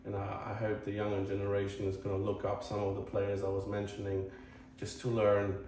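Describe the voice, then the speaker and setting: A man from Croatia with a Croatian accent, talking casually to viewers as a tennis channel host in a halting, earnest, rambling way. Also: fairly low pitch, completely flat delivery, a little mellow. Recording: echoey, distant mic, faint noise.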